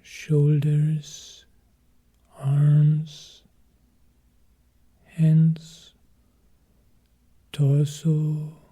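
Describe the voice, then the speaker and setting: Very slow, dark-voiced man guiding meditation. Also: on the high side, breathy edge. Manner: calm, measured, short phrases with long pauses. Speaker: meditation teacher.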